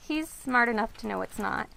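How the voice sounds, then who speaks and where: A woman's voice: fairly high pitch, vocal fry, full character voice. A woman playing a cunning, cheeky teenage schoolgirl in an audio drama. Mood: amused.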